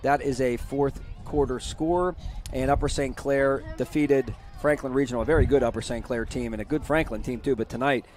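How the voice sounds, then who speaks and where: A man calling a high school football broadcast from the press box, chatty and energetic, and losing his voice. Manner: chatty, energetic, reads scores quickly. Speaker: play-by-play broadcaster. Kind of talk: postgame sports commentary.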